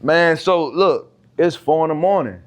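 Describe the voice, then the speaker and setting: A man, co-hosting a podcast. He talks in a laid-back, teasing way and answers with quick affirmations. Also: fairly high pitch, expressive. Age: 30s. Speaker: podcast co-host. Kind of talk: casual chat.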